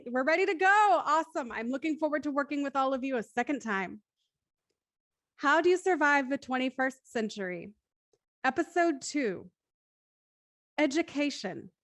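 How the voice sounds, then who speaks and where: A woman's voice: high voice, lively intonation, moderate pace, pauses often, fairly bright tone, dry recording. A woman hosting a live-streamed show in a casual, chatty and playful way. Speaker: host of a live script-reading show.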